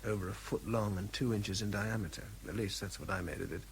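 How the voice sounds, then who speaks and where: An actor playing an exhausted, anxious English army officer in a radio drama, urgent and halting in his speech. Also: fairly low pitch, a little hiss or hum, natural voice. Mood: weary, grave. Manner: halting, repeats himself under strain. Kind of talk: British radio drama acting.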